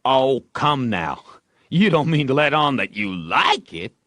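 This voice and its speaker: A man voicing an excitable young village boy with folksy dialect, by turns cocky and pleading, in an audiobook. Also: mid-range voice, measured pace, dry recording, clean studio sound, pitched above the performer's own voice. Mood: skeptical. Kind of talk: audiobook character voice.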